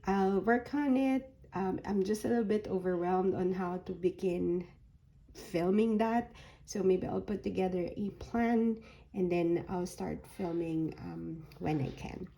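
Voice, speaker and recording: A woman with a hoarse voice that is recovering from a sore throat, showing a handmade journal folio in a soft, unhurried way with frequent ums. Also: lowish voice. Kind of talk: slow, casual craft flip-through.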